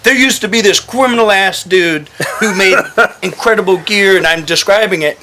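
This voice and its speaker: A man telling casual war stories as a military veteran, animated and swearing freely, acting out quoted dialogue. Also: sits high, moderate pace, a little hiss or hum, low-quality recording. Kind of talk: casual storytelling, profane.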